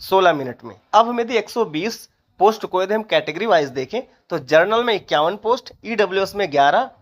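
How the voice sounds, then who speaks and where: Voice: medium pitch, expressive, brisk. A presenter giving an informational explainer, methodically reading out details and figures. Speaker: exam update presenter.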